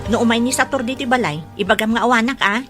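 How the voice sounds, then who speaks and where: A woman's voice: fairly high pitch, quick pace, over loud music, close to an everyday voice. A woman playing an aloof, bossy young woman in a radio drama, curt and commanding. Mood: annoyed, bossy.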